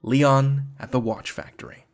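A man's voice: medium pitch, lively intonation, unhurried. A man with a mid-range voice introduces a show as podcast host. His delivery is scripted yet conversational. The phrasing is wry and literate.

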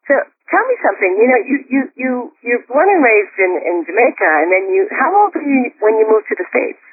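A woman's voice: fairly high pitch, big pitch swings, a little fast, breathy edge, no room sound. A woman hosting an interview show, chatting in a bubbly, enthusiastic way. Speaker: host. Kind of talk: casual interview chat. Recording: tinny phone-line audio.

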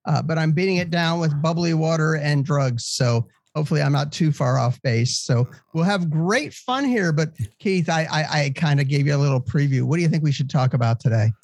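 A man's voice: on the high side, fairly quick, hardly any pauses, a little mellow, no room sound, clean studio sound. An American man with a congested nose hosts a casual co-hosted discussion in a jokey, rambling way. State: congested from allergies. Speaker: podcast host.

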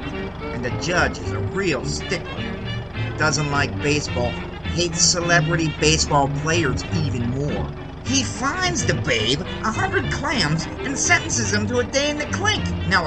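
A gabby American cabbie from the 1920s, his voice pitched on the high side. He is a folksy, slangy baseball lover who spins rambling yarns in a radio-play style, with music clearly behind him. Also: rough recording. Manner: colloquial, slangy, rambling storyteller. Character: talkative 1920s Chicago cabbie, folksy baseball-loving yarn spinner. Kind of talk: audio drama acting.

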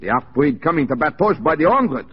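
An actor in a 1940s radio drama playing a defiant, rough Métis rebel leader who speaks broken English, on an old muffled recording. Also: male voice, a little high, sing-song, fast talker, exaggerated voice. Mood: defiant, urging. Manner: broken English with dropped words.

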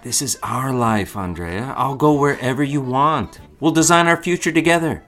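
An actor playing a devoted, proud young fiancé who is wounded, in a romantic period audio drama, with polite, emotive, halting delivery. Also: male voice, mid-range voice, fairly bright tone, faint music behind, natural voice. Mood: pleading, adoring.

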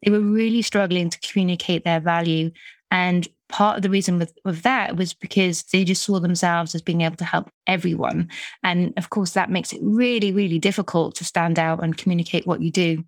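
A woman's voice: lowish voice, fairly even intonation, fairly quick, dry recording, studio quality. A middle-aged British woman from the UK, a business coach talking casually in an interview, chatty with frequent restarts. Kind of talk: conversational interview.

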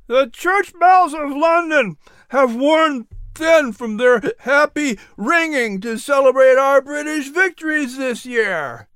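A man voicing a rowdy, tipsy middle-aged colonial gentleman making loud toasts in a storybook. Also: extremely high pitch, expressive, drawn-out words, clean tone, no echo, pitched above the performer's own voice, full character voice. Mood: boisterous.

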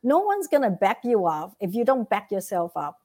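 A woman's voice: mid-range voice, big pitch swings, normal speed, dark tone. A woman with a Vietnamese accent, a guest giving earnest motivational advice and often checking in with "right?". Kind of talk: motivational interview talk.

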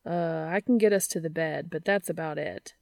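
A woman's voice: sits low, moderate pace, gravelly, darkish tone, no echo, studio quality. A woman voicing an eager, tender, slightly awkward male lover in an audiobook romance, murmuring casually. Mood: sheepish. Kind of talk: audiobook character voice.

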